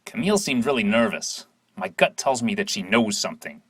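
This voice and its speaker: A man's voice: fairly low pitch, very animated, brisk, fairly bright tone, no room sound. A man playing a suspicious, bossy corrupt police detective in an audio drama, with a pushy, probing manner. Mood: wary, conspiratorial.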